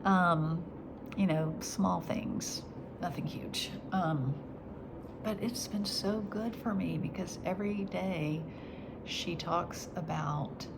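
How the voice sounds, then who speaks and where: A woman talking in a reflective faith vlog, soft and halting, her voice nasal after crying. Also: fairly low pitch, vocal fry. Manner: soft and halting, slipping into whispers. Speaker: vlogger. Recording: steady background noise.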